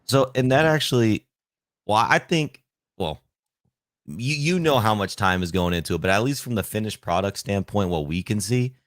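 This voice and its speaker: Really deep-voiced host guy, hyped about the guest, dry close sound. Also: lively intonation, moderate pace, a bit bright, no echo. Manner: enthusiastic, praising, talks to viewers. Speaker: podcast host. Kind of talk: casual podcast interview.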